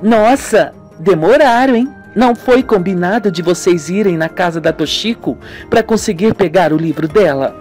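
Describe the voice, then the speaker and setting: A man voicing a lively, curious young woman in an amateur radio soap, with music. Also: high-pitched, normal speed, hardly any pauses. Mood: chiding, welcoming.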